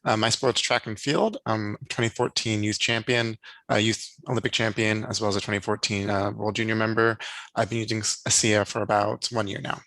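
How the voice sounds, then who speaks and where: A man in his twenties, a track athlete, introducing himself in an even voice with some fillers. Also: on the low side, a little fast, no echo, poor audio. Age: 20s. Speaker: track athlete giving a testimonial. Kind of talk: testimonial interview.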